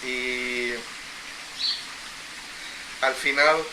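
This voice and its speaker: A middle-aged man from El Salvador, telling his life story as an interview guest in a reflective, unhurried way, often repeating phrases. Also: mid-range voice, fairly even intonation, background noise. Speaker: interview guest, agricultural engineer.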